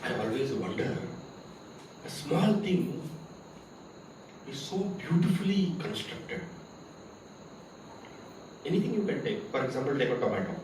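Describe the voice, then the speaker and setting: A man's voice: a little high, big pitch swings, a little slow, long pauses, noisy background. Distant echoing male spiritual teacher, Tamil-native. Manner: asks rhetorical questions and recites Sanskrit verses. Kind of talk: spiritual discourse to a camp audience. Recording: very echoey, from far off, with crickets.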